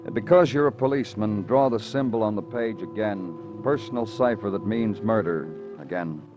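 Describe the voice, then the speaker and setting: A male actor plays a hard-boiled American detective with a low male voice. He is brooding and weary, speaking in clipped, poetic fragments in the manner of 1950s radio. The old recording is muffled, with prominent music. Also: natural voice. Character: weary, brooding male police detective narrating his case. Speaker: police detective and narrator. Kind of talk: 1950s radio crime drama acting, terse poetic narration.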